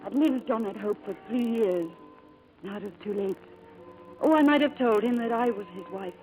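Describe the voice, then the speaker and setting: A woman playing a devoted, quietly sorrowful secretary secretly married to her boss, in 1940s radio drama style. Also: medium pitch, normal speed, soft background music, lightly acted. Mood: sorrowful, wistful. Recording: muffled old radio broadcast recording.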